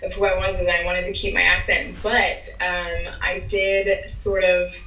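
A young woman from Philadelphia with a softened American accent, chatting upbeat as an interview guest. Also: fairly low pitch, little pitch movement, measured pace. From Philadelphia, USA. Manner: chatty and upbeat, gestures while talking. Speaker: singer-songwriter guest. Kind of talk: casual interview chat. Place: indoor room at home. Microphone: wireless earbuds on a video call. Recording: roomy, distant, dull video-call audio.

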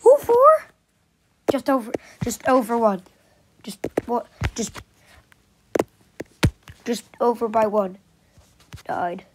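A young woman with a heavy cold, sniffling as she talks. Her voice sits a little high and she speaks slowly, breaking into sudden excited gasps and squeals. The recording is noisy, with clicking taps behind her. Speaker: vlogger. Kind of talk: casual screen-recording vlog.

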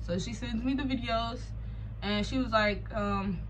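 A young American woman with a fairly high voice chats through a personal story for her vlog. She is animated and slangy, dropping sarcastic asides as she goes. The recording has clear background noise. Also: measured pace, rough recording. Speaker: vlogger telling a personal story. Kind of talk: casual storytime chat.

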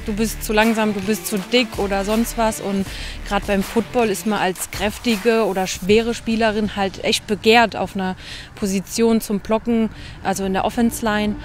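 A woman in her late twenties talks in a relaxed, slightly hesitant way about her sport. Music plays clearly behind her at an outdoor field at night. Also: mid-range voice, moderate pace. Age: 20s. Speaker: amateur football player. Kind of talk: casual interview answers.

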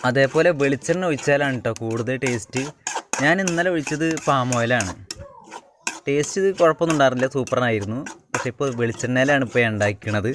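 A man from Kerala talks viewers through his home cooking in a casual, rambling way, circling back over his phrases. His voice sits at a middling pitch. Pans clatter and click noticeably behind him in a homemade recording. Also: fast talker, background noise. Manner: rambling, repeats phrases as he works. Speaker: home cook and vlogger. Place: home cooking spot with wood fire.

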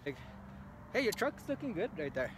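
A young man chatting casually in an excitable, slangy way. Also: high-pitched, moderate pace. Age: young adult. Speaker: vlogger's friend. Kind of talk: casual chat. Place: inside a car and outdoors at night. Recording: noisy handheld recording with car and outdoor noise.

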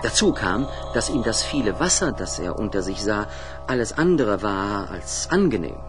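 A man narrating a children's radio play in an expressive storytelling manner. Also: lowish voice, moderate pace, music in the background.

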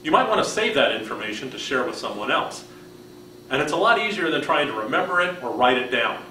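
A man's voice: mid-range voice, very animated, brisk, bright, forceful tone, echoey room, a little hiss or hum, poor audio. A man in his fifties with an American accent gives a scripted product demonstration in a friendly, instructional way. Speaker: product presenter.

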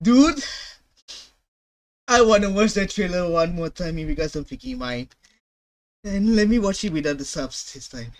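A man in his twenties giving casual, excitable reaction commentary full of slangy exclamations, recorded in a room at home. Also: high-pitched, moderate pace, pauses often. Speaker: reaction video host. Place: small indoor room at home. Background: game trailer music.

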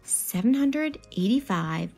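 A woman in her thirties giving an expressive picture-book read-aloud, animatedly addressing children with questions and asides. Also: mid-range voice, slow pace, vocal fry, breathy edge, faint music behind.

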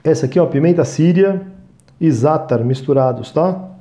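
A man's voice: on the high side, moderate pace, warm tone, roomy sound. Brazilian man chatting through a recipe. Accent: Brazilian Portuguese. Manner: chatty, talks to viewers as "gente", frequent "tá?" checks. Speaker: cooking show host. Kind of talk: cooking demonstration. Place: small studio kitchen set.

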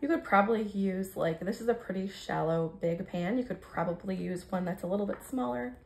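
An American woman in her thirties talks casually through home cooking. Her mid-pitched voice has a lot of fry in it. She explains each step in a chatty, informal way. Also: brisk. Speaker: home cook vlogger. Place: home kitchen, indoors.

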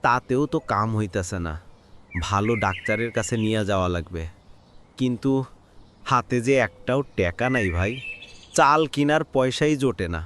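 A man's voice: on the low side, normal speed, pauses often, a bit bright, lightly acted. A man with a rural Bangladeshi accent playing a poor, anxious village father in a cartoon, plaintive and sorrowful. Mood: despairing. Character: poor, anxious village father, despairing but grateful boatman. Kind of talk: cartoon voice acting. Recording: faint background noise with birdsong.

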